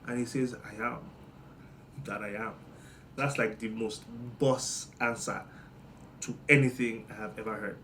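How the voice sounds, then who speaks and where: A man's voice: on the low side, steady tone, unhurried, long pauses, slight background noise. Playful Nigerian-accented man. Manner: playful, teasing, jumps between loud and muttered. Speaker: monologue host. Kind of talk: comedic monologue.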